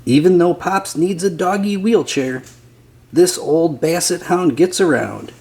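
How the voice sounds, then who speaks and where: A man's voice: on the high side, measured pace, a little mellow. A man reading a children's picture book aloud as a scripted narrator.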